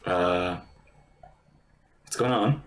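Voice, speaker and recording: A man's voice: deep voice, extremely slow, crowd noise. A man giving casual live-stream reactions to a game.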